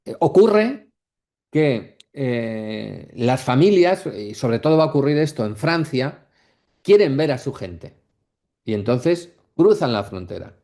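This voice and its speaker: A man giving an academic lecture off the cuff, reflective and halting, often checking in with short question tags. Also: mid-range voice, big pitch swings, mellow. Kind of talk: masterclass lecture, speaking off the cuff.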